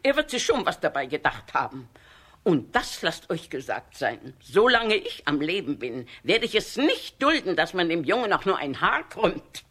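A woman's voice: on the low side, very animated, normal speed, a bit bright, breathy edge, exaggerated voice. An older woman playing a small, scolding but doting village aunt in a children's radio play. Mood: scolding, defiant. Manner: scolding, emphatic.

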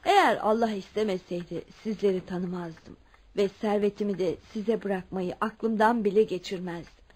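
Woman acting as elderly rich lady, mid-range pitch, measured, earnest, kindly and devout, radio play. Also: steady tone, a little mellow, breathy edge, lightly acted. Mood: resolute, kindly. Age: older. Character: wealthy, generous, devout elderly aunt making her will.

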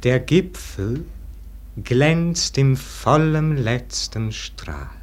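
Very slow, yearning nobleman. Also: medium pitch, expressive. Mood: hushed reverie. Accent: standard German stage diction. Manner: solemn, lyrical, drawn-out declamation. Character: melancholy, yearning nobleman in a verse drama. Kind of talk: classic German radio verse drama, declaimed.

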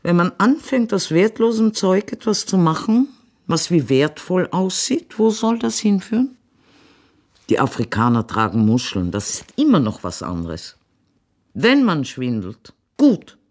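A woman's voice: lowish voice, big pitch swings, unhurried, darkish tone, exaggerated voice. An older woman with an Austrian accent acting in a radio play as a genteel mother, tender yet sharply disapproving. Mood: scornful.

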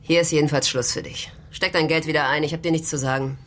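An actress in a German radio drama playing a tough, guarded prostitute who talks curtly and bluntly. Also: female voice, low voice, steady tone, fast talker, fairly bright tone. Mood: wary, dismissive. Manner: curt, wary, blunt. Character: tough, guarded red-haired brothel prostitute, streetwise and proud.

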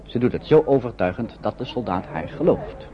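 A man's voice: mid-range voice, animated, moderate pace, close to an everyday voice. A man reading scripted narration as the storyteller of a wartime radio play. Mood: storytelling. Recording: dull old radio recording, faint noise.

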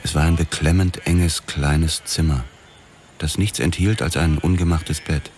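An actor narrating as a weary, sardonic police detective in a radio drama, with dry and clipped delivery. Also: male voice, a bass voice, steady tone, measured pace, darkish tone.

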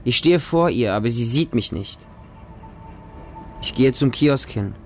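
A man's voice: medium pitch, expressive, a little slow, soft background music. A man playing a lonely, naive small homeless boy who narrates his life in a radio drama in short, plain sentences. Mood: lonely, wary.

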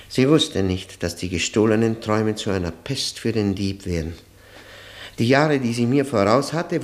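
Low-voiced tormented man confessing. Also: a little mellow. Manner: brooding, rhetorical monologue with halting repetitions. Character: tormented man confessing his ruined marriage, bitter and impassioned. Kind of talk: 1960 German radio drama acting.

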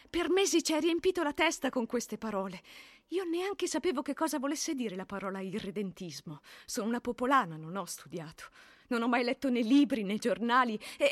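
A bereaved working-class mother in a stage-style radio play. Her voice sits a little high and is recorded dry and close. She speaks plainly but solemnly, weighing each phrase. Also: fairly bright tone, dry recording. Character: grieving, plain-spoken working-class Italian mother of fallen soldier. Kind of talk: theatrical radio drama monologue.

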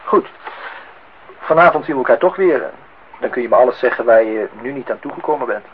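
A man's voice: mid-range voice, animated, a little fast, close to an everyday voice. An actor playing a wry, grumbling man acting the husband and father in a Dutch radio play, with a dry, theatrical irony. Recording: tinny, band-limited old radio recording, faint noise.

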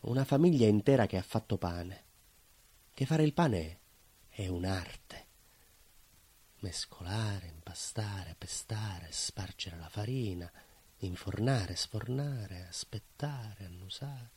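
Low-voiced, proud baker. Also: male voice, a little mellow, husky. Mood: hushed, reverent. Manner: emphatic, repetitive phrasing with deliberate pauses. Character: proud, plain-spoken northern-born Calabrian baker, stubborn and nostalgic. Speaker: stage actor. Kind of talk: theatrical monologue, colloquial storytelling.

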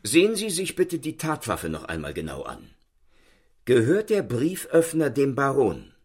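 An actor playing an obsessed, insistent Paris police inspector who questions people in a pointed, suspicious manner in a radio drama. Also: male voice, medium pitch, wildly swooping pitch, a little slow, darkish tone, husky, heavily acted. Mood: probing.

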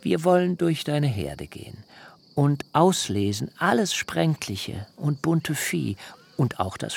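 Ein Schauspieler spielt in einem literarischen Hörspiel einen alternden, beharrlichen Hirten, der getragen und in altertümlichen Wendungen spricht. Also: male voice, medium pitch, vocal fry, husky, heavily acted. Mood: bargaining. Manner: measured, solemn, archaic phrasing. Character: aging herdsman, long-serving nephew, deliberate and insistent. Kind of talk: literary radio drama acting.

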